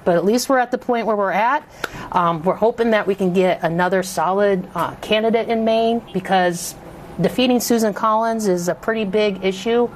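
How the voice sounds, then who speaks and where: A woman's voice: mid-range voice, normal speed, slight background noise. A woman voter interviewed in the field, speaking with hesitant determination.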